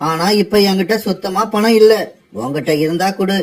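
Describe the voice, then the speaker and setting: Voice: medium pitch, normal speed, natural voice. An actor voicing an adult bird parent in a children's cartoon, earnest and stern. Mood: worried.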